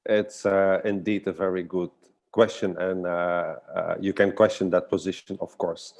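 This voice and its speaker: Very deep-voiced man, thoughtful and hesitant. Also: little pitch movement, moderate pace, creaky, darkish tone. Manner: hesitant, searching for words. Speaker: guest artist and storyteller. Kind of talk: casual reflective online conversation.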